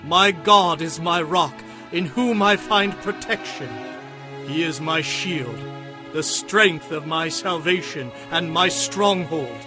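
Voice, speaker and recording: A man's voice: fairly high pitch, takes it slowly. An actor playing a devout warrior king, reciting praise to God solemnly and fervently in a dramatized scripture reading. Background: music.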